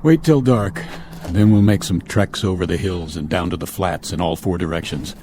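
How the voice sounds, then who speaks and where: An actor playing a stern, weary spaceship captain in a radio drama, speaking with clipped authority. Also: male voice, low-pitched, moderate pace, mellow, a little hiss or hum, close to an everyday voice. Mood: grim resolve.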